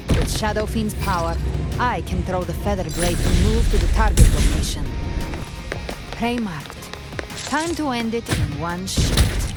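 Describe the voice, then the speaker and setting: An actress playing a focused, decisive young woman hunter in a video game, speaking with brisk purpose. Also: medium pitch, close to an everyday voice. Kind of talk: dramatic video game voice acting. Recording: voice under loud game music.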